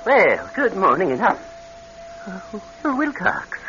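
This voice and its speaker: A male actor playing a flustered, shifty bank executive in a radio drama, speaking haltingly. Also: sits high, big pitch swings, bright, forceful tone, soft background music, exaggerated voice. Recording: dull, noisy vintage radio recording.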